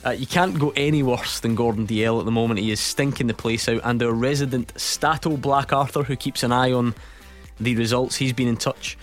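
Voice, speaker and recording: A man's voice: medium pitch, steady tone, a little fast, faint music behind. Wry male radio sports host. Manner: wry, teasing, reads out phone numbers. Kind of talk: radio sports phone-in hosting. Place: radio studio.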